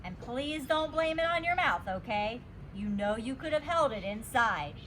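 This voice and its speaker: A woman's voice: a little high, animated, fairly bright tone. Lady doing stern but kind storybook principal guy, careful scolding, bit of background noise. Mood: admonishing. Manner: admonishing, deliberate. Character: measured, stern but kindly male school principal. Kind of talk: children's storybook character reading.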